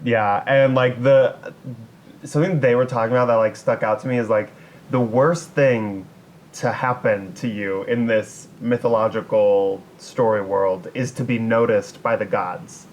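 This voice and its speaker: A man's voice: mid-range voice, fairly even intonation, measured pace, a little hiss or hum. Casual man telling myths as podcast co-host. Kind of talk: casual chat retelling myths.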